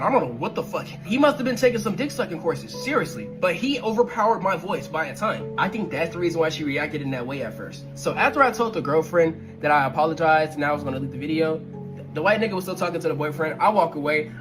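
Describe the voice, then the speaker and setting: A man telling a casual, slangy story about one of his videos, acting out the other people's lines as he goes. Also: a little high. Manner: animated, slangy, laughs while telling. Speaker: content creator telling a story.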